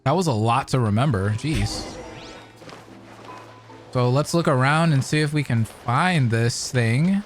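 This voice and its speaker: A woman with an extremely low voice gives casual gaming reaction commentary. The delivery is conversational and informal. Faint music runs in the background. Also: animated, measured pace, long pauses. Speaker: gamer reacting to a game.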